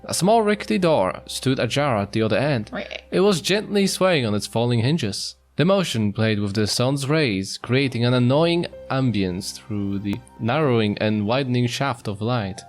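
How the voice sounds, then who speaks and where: A man's voice: medium pitch, expressive, normal speed. A man reading visual novel text aloud in a casual let's-play style, breaking off for jokey, mock-apologetic asides. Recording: music behind the voice. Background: music.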